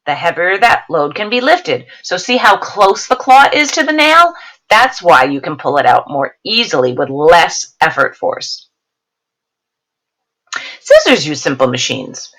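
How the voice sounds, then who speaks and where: A woman's voice: mid-range voice, fairly bright tone. A woman teacher reading a science lesson aloud, explaining it to students and checking in with questions.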